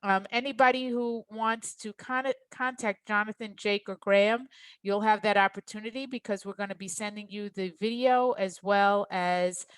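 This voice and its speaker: Clear-voiced woman hosting a webinar. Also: mid-range voice, steady tone, normal speed, dry recording. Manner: appreciative, encouraging. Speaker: webinar host. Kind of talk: warm closing remarks to attendees. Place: online webinar.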